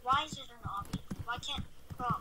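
A woman's voice: sits high, brisk, background noise. A young woman playing along in a casual game video, dramatic and complaining with mock-formal quips. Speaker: co-player. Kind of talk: casual gameplay chat.

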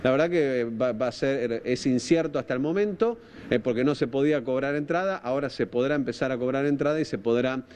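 A man's voice: mid-range voice, brisk, darkish tone, smooth. Argentine guy from rosario doing a live tv report, informal, stops and repeats himself a lot. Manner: informal, hesitant, repeats words while thinking. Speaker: TV field reporter. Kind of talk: live unscripted news reporting. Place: outdoors at night by a park. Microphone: handheld microphone, through a face mask.

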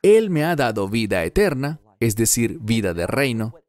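A man dubbing an earnest Bible teacher in his fifties, delivering a verse-by-verse sermon with emphatic, deliberate phrasing. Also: mid-range voice, sing-song, darkish tone, lightly acted.

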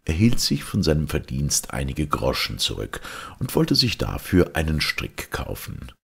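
A man with an extremely deep, husky voice narrates a fairy tale. He takes his time and delivers it theatrically, with wide swings in pitch. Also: wildly swooping pitch, a bit bright. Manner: theatrical, deliberate. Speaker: storyteller, reader.

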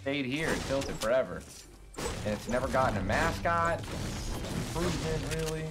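A man's voice: medium pitch, normal speed. A young man, a game streamer, chatting casually with viewers while he plays, thanking them and griping about lag, a little congested. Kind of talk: casual live-stream chat while playing. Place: home streaming room, indoors.

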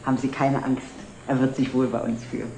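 A woman's voice: a bass voice, little pitch movement, brisk, creaky. An actress playing a well-off, chatty middle-aged lady customer in a 1960s TV drama. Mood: decisive, gracious. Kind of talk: 1960s German television drama acting. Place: indoor antique shop set. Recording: roomy, noisy old TV soundtrack.

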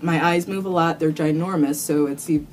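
A woman's voice: sits low, fairly even intonation, normal speed, darkish tone. A young woman vlogger answering viewer questions to camera in a small room, chatty and rambling, cursing casually with lots of fillers. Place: small indoor room, plain wall.

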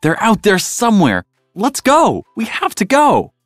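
A man voicing an excitable, forgetful, eager young female fish in a storybook, breathless and gushing. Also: high voice, measured pace, dry recording, clean studio sound, soft background music, voice pushed up, exaggerated voice. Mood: excited, urgent.

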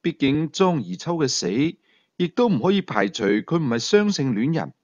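A stern police commander in a suspense audiobook, acted out by a man with a Hong Kong Cantonese accent. The delivery is earnest, insistent and argumentative, with lively pitch. The recording is dry and a little muffled. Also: moderate pace, no echo.

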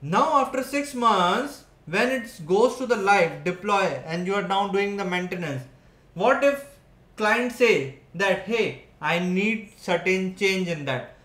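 High-voiced man teaching at a whiteboard. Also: lively intonation, takes it slowly, a bit bright. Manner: explanatory, frequent "right?" and "okay" checks. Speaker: software engineering teacher. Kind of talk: whiteboard tutorial. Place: indoor room at a whiteboard. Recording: echoey room.

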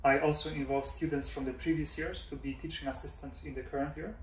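Danish-raised professor in an echoey office. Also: male voice, on the high side, fairly even intonation, fast. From Denmark. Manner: thoughtful, many fillers and "right?" checks. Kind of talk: relaxed interview answers. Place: office room, indoors. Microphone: far camera mic. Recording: roomy echo from far off, faint hum.

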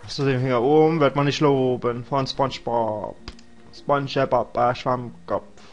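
A man's voice: medium pitch, fairly even intonation, measured pace, muffled recording. A young man with a cold, casually rambling and joking to himself while commentating a video game. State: has a cold. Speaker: let's play host.

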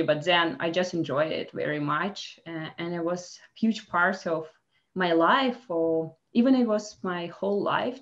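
A woman in her thirties from Russia with a Russian accent, telling her story as an interview guest in halting, casual speech with restarts. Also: on the low side, muffled recording. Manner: halting, frequent restarts and laughs. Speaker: guest, former Olympic skier. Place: home office, indoors.

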